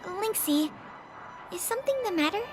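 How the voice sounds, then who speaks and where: An actress voicing a prim, cautious young female intelligence officer in an anime-style game, speaking in an earnest, precise, crisply formal way. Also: very high voice, expressive, moderate pace, music in the background. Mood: friendly, caring.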